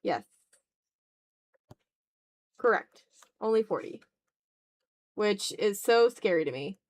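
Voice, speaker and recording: Woman, mid-pitched voice, casual livestream chat, slangy, playful, muttering to herself and addressing viewers. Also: lively intonation, takes it slowly. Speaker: streamer, digital artist.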